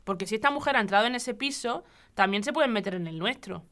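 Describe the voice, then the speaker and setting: An actress with a Spanish accent playing a fearful, defensive wife and neighbour in an audio drama. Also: female voice, mid-range voice, expressive, fast, a bit bright, close to an everyday voice. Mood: anxious, defensive. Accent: Spanish from Spain.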